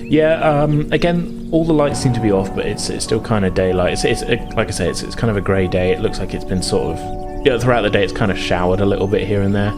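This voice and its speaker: A man's voice: on the low side, quick pace, over music. A British man running a tabletop game, improvising his narration with hesitant, hedging phrasing. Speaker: game master.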